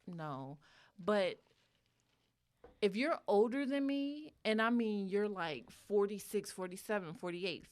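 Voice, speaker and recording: A woman's voice: medium pitch, animated. Blunt, emphatic woman in her forties. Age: 40s. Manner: blunt, emphatic, quick to laugh. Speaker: podcast co-host. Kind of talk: casual chat.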